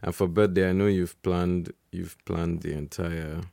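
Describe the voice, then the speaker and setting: Podcast co-host, male, very low gravelly voice, dry close sound, loose chatty delivery. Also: measured pace, no echo. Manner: relaxed, rambling with restarts and laughs. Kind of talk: casual chat.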